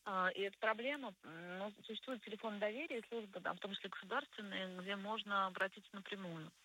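Bright-voiced woman explaining as a radio expert. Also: medium pitch, fast talker, slight background noise, poor audio. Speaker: guest psychologist on a radio show. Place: radio studio.

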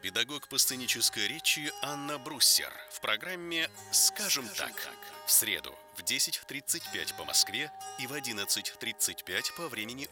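A man reading scripted station promos as a radio announcer. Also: medium pitch. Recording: produced radio spot over music. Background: music.

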